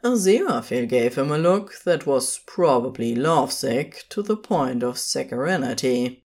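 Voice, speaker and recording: A woman's voice: a bass voice, sing-song, normal speed, darkish tone. A woman narrating scripted fiction as the storyteller of an audio story.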